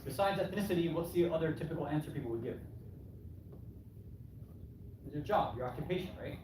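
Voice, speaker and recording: A man's voice: fairly high pitch, expressive, fairly quick, a little mellow. A man giving a conversational sermon, asking rhetorical questions, often adding "right?" and voicing imagined replies. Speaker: preacher addressing a congregation. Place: Islamic center hall. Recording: echoey hall, distant mic, clearly noisy.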